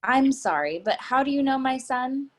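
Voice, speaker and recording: A woman's voice: high-pitched, lively intonation, normal speed. Woman playing prying, polite middle-aged mother. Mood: guarded, polite. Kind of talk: readers theatre play reading.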